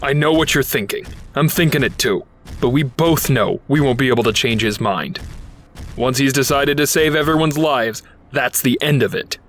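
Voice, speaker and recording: A man voicing a knowing, resigned robot soldier comrade in an animated fan dub. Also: mid-range voice, moderate pace, slight background noise, close to an everyday voice. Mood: resigned, urgent.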